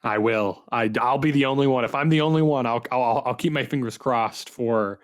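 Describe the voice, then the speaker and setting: A man's voice: medium pitch, moderate pace. A man with a mid-range voice speaks as a podcast host. His delivery is informal and conversational, like a loose chat between gamers. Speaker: podcast host and game reviewer. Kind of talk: casual podcast interview chat.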